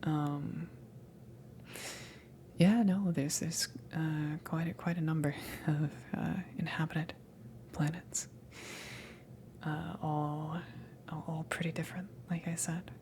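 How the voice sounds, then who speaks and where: A woman playing a casual, kind alien salvage crewmember in a hesitant, reassuring ASMR roleplay, whispery and breathy. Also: low voice, steady tone, slow pace, pauses often, a little hiss or hum. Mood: musing, curious. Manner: hesitant, rambling, reassuring, soft chuckles. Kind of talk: ASMR roleplay, improvised-sounding soft talk.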